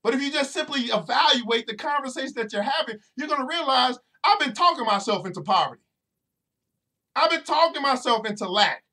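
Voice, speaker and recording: A man's voice: very high-pitched, expressive, fairly quick, sharp tone. A man hosting a motivational podcast, preaching to his listeners directly and repeating phrases over and over for emphasis. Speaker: financial educator and podcast host.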